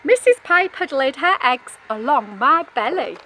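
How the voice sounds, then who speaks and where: A woman voicing proud, chirpy father fish in a storybook, with brisk, delighted emphasis. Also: high voice, sing-song, very noisy. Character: proud, chirpy male fish fathers minding their eggs.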